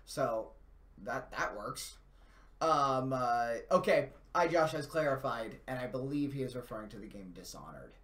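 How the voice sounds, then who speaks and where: A man's voice: mid-range voice, expressive, measured pace, a bit bright. A man, co-hosting a gaming live stream in casual, rambling, enthusiastic and slangy chat as he answers viewers. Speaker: co-host of a video game live stream. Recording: echoey room.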